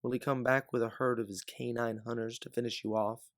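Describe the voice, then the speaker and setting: A man's voice: medium pitch, level tone, a little fast, dry recording, clean studio sound. A man reading a scripted second-person story as a storyteller.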